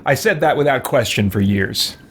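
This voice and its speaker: A man's voice: mid-range voice, lively intonation, moderate pace, slight background noise. A man hosting a gaming video, rambling casually and thinking aloud as he plays. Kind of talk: casual roleplay game commentary.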